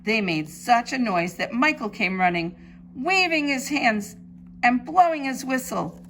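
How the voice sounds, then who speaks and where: A woman reading a children's storybook aloud in an expressive, emphatic preschool-teacher style. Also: fairly high pitch, measured pace, slight background noise.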